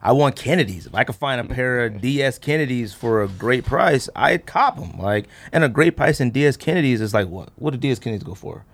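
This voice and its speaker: A man in his thirties hosting a casual chat, rambling and thinking out loud in slangy talk. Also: medium pitch, expressive, brisk, gravelly, few pauses. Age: 30s. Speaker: podcast host. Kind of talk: casual chat about sneakers.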